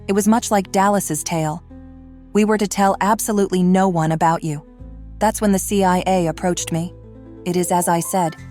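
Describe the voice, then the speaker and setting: A woman playing a regretful, fiercely protective mother in an audio drama, earnest and measured. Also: lowish voice, steady tone, a little mellow, soft background music, natural voice.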